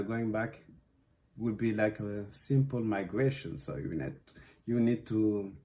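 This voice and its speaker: A young man from West Africa, a native Susu speaker, reflecting in an interview. His voice sits low and mellow, and he talks slowly and thoughtfully. He often pauses with "uh" and starts sentences over. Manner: thoughtful, many fillers and restarts. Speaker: interviewed artist. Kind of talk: reflective conversation.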